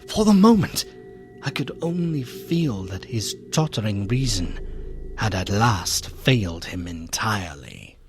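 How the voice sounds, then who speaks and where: A grave gentleman haunted by horror in a melodramatic gothic radio drama. He speaks slowly and solemnly, weighting his words with ominous dread, over faint music. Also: mid-range voice. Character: grave, horrified gentleman friend recounting a gothic tale.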